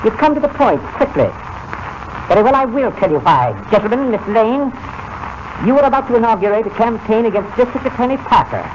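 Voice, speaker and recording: An actor playing a smug, menacing invisible villain in a 1940s radio drama, speaking formal, deliberate threats with mocking courtesy. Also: male voice, extremely high pitch, sing-song. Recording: noisy, dull old-time radio recording.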